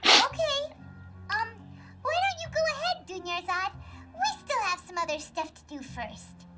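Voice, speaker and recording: A woman voicing a tiny, childlike, excitable and nosy fairy companion in an anime game. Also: a little slow, over loud music, heavily acted. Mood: eager. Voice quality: squeaky, childlike.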